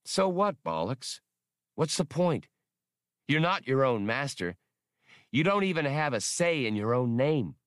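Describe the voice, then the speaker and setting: A male narrator doing a cocky, quick-tempered smuggler captain who scoffs and raises his voice. His voice jumps well above the reader's own low tone and comes out loud and pushy, with a dry, close audiobook sound. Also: big pitch swings, clear, resonant tone, dry recording. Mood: scornful. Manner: scornful, loud and quick to anger. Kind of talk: audiobook character reading.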